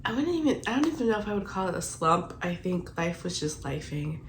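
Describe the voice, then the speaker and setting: A woman's voice: fairly low pitch, big pitch swings, a little fast, a little hiss or hum. A young woman with a congested, stuffy voice, chatting casually about her reading in a rambling vlog, full of "like". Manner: chatty, heavy use of filler "like". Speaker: book vlogger.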